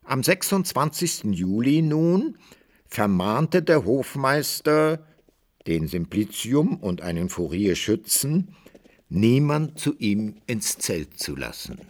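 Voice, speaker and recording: A man narrating a classic literary radio drama in measured, archaic storytelling language. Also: mid-range voice, very animated.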